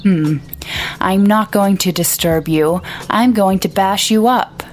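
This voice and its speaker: A woman voicing an irritable, scornful young man who scolds his sister, pitched a little above her narration, with faint music behind. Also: slow, lightly acted. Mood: indignant.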